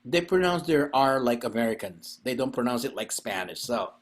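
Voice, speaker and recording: A man's voice: medium pitch, animated, normal speed. A man, hosting a podcast in a rambling, chatty way and laughing often. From Philippines. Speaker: podcast host and festival organizer. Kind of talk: casual chat.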